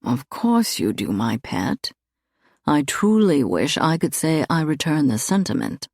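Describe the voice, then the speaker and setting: A woman voicing an arrogant, flippant gentleman thief with a cool, teasing, formal manner in an audiobook. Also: sits low, very animated, unhurried, dry recording, studio quality, heavily acted. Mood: dryly teasing. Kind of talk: audiobook character dialogue.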